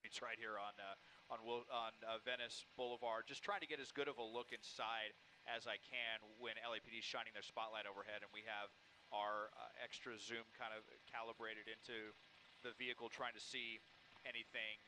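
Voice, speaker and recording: Bright, forceful man reporting live over noise. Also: fairly low pitch, level tone, poor audio. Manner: halting, improvised delivery. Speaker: helicopter news reporter. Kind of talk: live breaking-news pursuit commentary.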